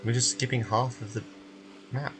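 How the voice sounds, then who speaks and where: A man's voice: medium pitch, flat delivery, normal speed, music in the background. A man playing a video game on stream, narrating and commenting as he goes. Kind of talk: live playthrough commentary and narration.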